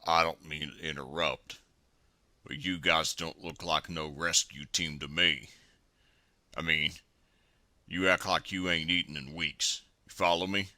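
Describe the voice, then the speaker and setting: Streetwise male inmate, played by a man: hard, very forceful low voice, slow, suspicious and slangy. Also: fairly even intonation, no echo, exaggerated voice. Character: wary, streetwise male prison inmate, armed robber. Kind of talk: dramatized comic dialogue.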